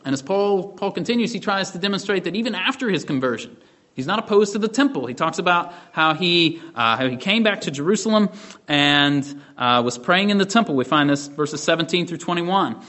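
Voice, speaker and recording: A man leads a Bible study lesson, explaining a passage in a relaxed, conversational way. His voice sits a little on the high side, and he restarts phrases and checks in with his listeners as he talks. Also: a little fast. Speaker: Bible teacher.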